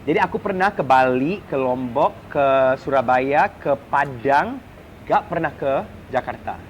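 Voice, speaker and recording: Malaysian-accented woman, higher-ended exclamatory delivery, casual guest chat, slightly dull recording, some background noise. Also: animated, a little slow. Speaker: guest, TV host and event MC. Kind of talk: casual chat mixing Indonesian and English. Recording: muffled, noisy ambient background.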